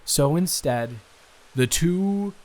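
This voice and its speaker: A man's voice: a little high, expressive, very slow. Man playing a musing, hesitant eldest brother. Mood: musing, mildly frustrated. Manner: conversational, hesitant, rambling. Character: responsible eldest son, friend's brother, musing and wry. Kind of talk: improvised intimate audio roleplay.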